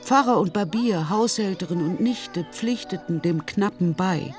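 Female storyteller for radio drama, lower register, literary scripted narration with music clearly underneath. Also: a little slow.

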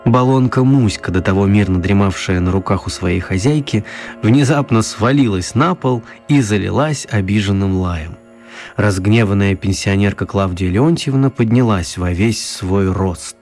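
A man narrating a children's radio play as an expressive storyteller. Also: on the low side, normal speed, soft background music, lightly acted. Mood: storytelling.

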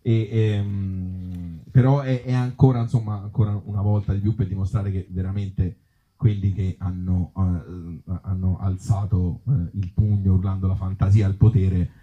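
A man's voice: deep voice, steady tone, unhurried. A man with a Tuscan accent, an author and actor performing and chatting live in a wry, self-mocking way with hesitant asides. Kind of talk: live reading of absurd comic pieces and casual talk with audience. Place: outdoor festival event. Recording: muffled, dull live recording.

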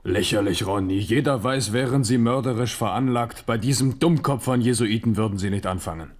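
An actor playing a weary, shrewd king in a German radio play, speaking with measured, deliberate irony. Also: mid-range voice, natural voice. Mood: dry, ironic. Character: weary, shrewd French king Henri, conciliatory but firm.

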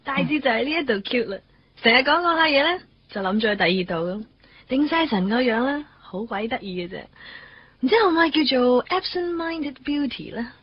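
A woman's voice: high voice, lively intonation, brisk, husky, no room sound, lo-fi sound. An actress with a Hong Kong Cantonese accent playing a breezy, sociable young girlfriend in a radio drama, chatty, sprinkling in English words. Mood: cute, chatty.

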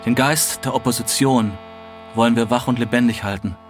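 A man's voice: mid-range voice, steady tone, normal speed, soft background music, lightly acted. A man playing an earnest, melancholic young writer, reciting literary texts in a radio play. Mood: defiant, earnest.

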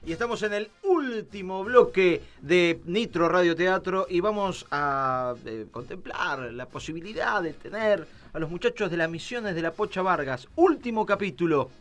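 A man's voice: high voice, expressive, normal speed, few pauses, a bit bright. A man with an Argentine accent presenting a live radio theatre show in an informal, improvised way. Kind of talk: live, loose radio presenting with sponsor read. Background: music.

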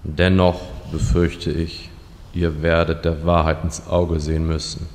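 An actor playing a dour, deferential servant of a fire lord in a comedic fantasy audio drama, speaking formally and deadpan. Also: male voice, rumbling low, measured pace. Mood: dry, solemn. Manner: formal, deadpan, solemn courtly phrasing. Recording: long hall reverb, faint noise.

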